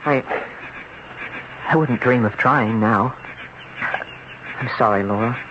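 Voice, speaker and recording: A man's voice: mid-range voice, slow pace, background noise, natural voice. An actor playing an earnest, troubled young man in an old-time radio drama. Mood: pleading, earnest. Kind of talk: old-time radio drama acting and first-person narration. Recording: dull old radio recording with music.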